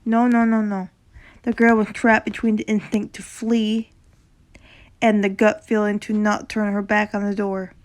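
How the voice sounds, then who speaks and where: A woman with a sore throat and a slight rasp, casually reading a horror story aloud, often stumbling over words and correcting herself. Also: medium pitch, flat delivery, a little slow. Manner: reacts casually between passages.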